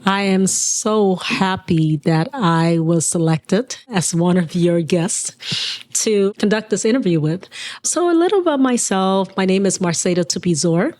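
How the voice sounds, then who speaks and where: A woman in her forties, originally from Liberia, talking earnestly and at a measured pace as an interview guest, pausing mid-sentence. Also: medium pitch, expressive, darkish tone, dry recording. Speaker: guest, business owner. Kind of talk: reflective personal interview.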